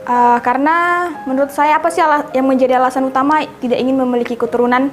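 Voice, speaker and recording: A woman's voice: high-pitched, steady tone, fast talker, faint music behind. A woman in her twenties giving earnest, argumentative answers in a street interview. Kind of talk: vox pop answers to camera.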